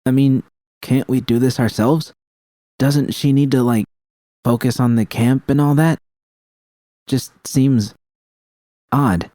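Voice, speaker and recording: A man's voice: mid-range voice, steady tone, takes it slowly, pauses often, mellow, no echo, clean studio sound, pitched above the performer's own voice, lightly acted. A man voicing a tender, distraught young woman who speaks hesitantly and pleadingly in a fan fiction story. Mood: doubtful. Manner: pleading, hesitant, swearing emotionally. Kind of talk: audio fan fiction dialogue.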